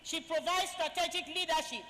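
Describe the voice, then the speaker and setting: A woman with a high, bright voice hosts a formal conference as master of ceremonies. She reads distinguished guests' credentials in a ceremonious tone. Her handheld mic carries into a large, echoing conference hall. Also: moderate pace. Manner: ceremonious, reads guest bios aloud with honorifics. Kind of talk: formal event introductions.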